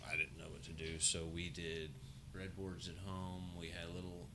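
A middle-aged engineering professor talking casually in a university lab, with a low, mumbling voice that halts and restarts. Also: male voice, monotone, very noisy. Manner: halting, restarts and trails off. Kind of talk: casual conversation. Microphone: shared podcast mic.

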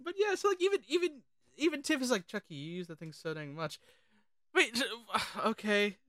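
A podcast co-host talking casually and informally about films, animated and sweary. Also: medium pitch, fairly quick, dry recording, clean studio sound. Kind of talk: casual film discussion.

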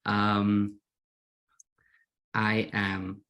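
A man's voice: on the low side, completely flat delivery, drawn-out words, a bit bright, dull audio. A man from England with a Manchester accent gone a little American, a musician chatting as a guest in a casual interview, self-deprecating and often repeating words as he talks. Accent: Manchester English, slightly Americanized.

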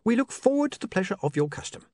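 A flustered young man in an audiobook, played by a male narrator. He is hesitant, polite and eager to placate, with a raised, jumpy pitch. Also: very animated, normal speed, no room sound, studio quality. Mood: anxious politeness. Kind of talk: audiobook character voice.

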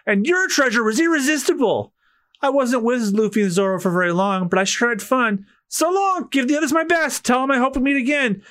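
A man's voice: extremely high pitch, brisk, a bit bright, pitched above the performer's own voice. A man voicing a sly, breezy young woman pirate thief from a comic, in an airy, teasing manner.

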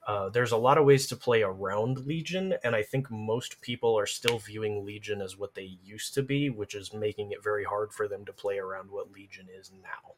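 A man's voice: fairly low pitch, normal speed, few pauses, slight background noise. Man giving casual, emphatic gaming advice. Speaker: podcast guest; game streamer. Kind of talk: casual gaming talk, explaining tactics.

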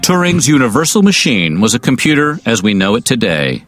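A man of average pitch narrates a history video from a script. His wording is casual and wry, and faint music plays underneath. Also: sing-song, fairly quick.

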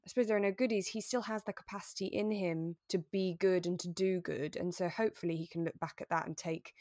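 A woman's voice: fairly low pitch, fairly even intonation, fast talker, darkish tone, no echo, studio quality. A British woman co-hosting a podcast, chatting informally and enthusiastically about a radio drama. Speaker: podcast co-host. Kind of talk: casual chat discussing a radio drama.

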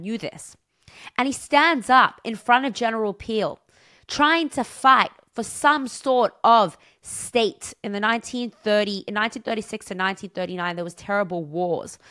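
Woman giving a torah talk, really emphatic and dramatic, lots of rhetorical questions, dry sound with no echo. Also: a little high. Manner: impassioned, emphatic, dramatic shifts between stressed and hushed phrases. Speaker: Torah teacher giving a weekly portion talk. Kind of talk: religious lecture with rhetorical questions and storytelling.

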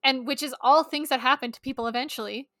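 Female podcast co-host with a bright, fairly high voice, casual and halting as she thinks aloud. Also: fairly even intonation, no echo, clean studio sound. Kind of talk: casual book-club chat.

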